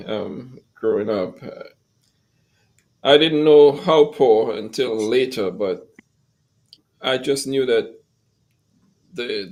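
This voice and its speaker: A man in his fifties, from Trinidad and Tobago, speaks as a podcast guest. He tells his story in an earnest, grateful way and keeps a measured, reflective pace. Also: mid-range voice, darkish tone. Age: 50s. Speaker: guest, music school founder. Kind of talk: personal story in an interview.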